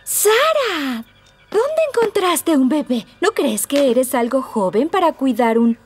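A kindly cartoon mother with a Latin American accent. Her high voice moves in lively, affectionate swoops, with music behind it. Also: slow, full character voice. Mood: curious, amused. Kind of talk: animated children's cartoon dub.

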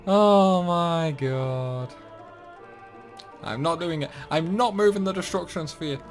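Casting: young male gaming host, relaxed chatty commentary, faint game music underneath. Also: on the high side, slow. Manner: chatty, self-deprecating, exclaims at mistakes. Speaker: gameplay channel host.

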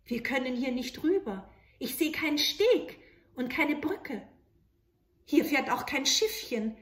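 Young fairy-tale girl, acted by a woman, high voice, plucky, exclaiming, earnest and pleading. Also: animated, takes it slowly, fairly bright tone, reverberant, exaggerated voice.

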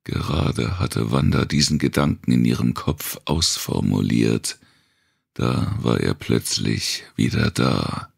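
A man reading a dark thriller audiobook in a measured, deliberate way, with a gravelly, husky voice. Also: very deep voice, dry recording, clean studio sound. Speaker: audiobook narrator. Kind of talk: scripted narration of a dark post-apocalyptic thriller.

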